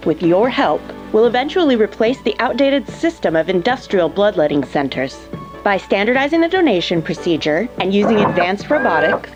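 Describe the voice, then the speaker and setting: Lively, polished corporate operations chief. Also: female voice, fairly high pitch, lo-fi sound. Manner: polished, instructional. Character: polished, reassuring female corporate head of operations. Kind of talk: scripted corporate training narration. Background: music.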